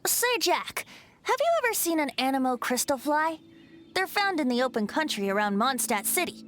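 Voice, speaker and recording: A woman's voice: high voice, sing-song, normal speed. A woman voicing a playful, lyrical young male bard in a video game dub, speaking in a sing-song, poetic way. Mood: musing. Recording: game cutscene with loud soundtrack.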